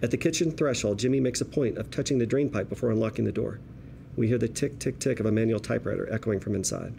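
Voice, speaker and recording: A man reading scripted stage directions as narrator at a table read, in a measured, descriptive storytelling manner. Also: fairly low pitch, creaky, mellow. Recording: faint room noise.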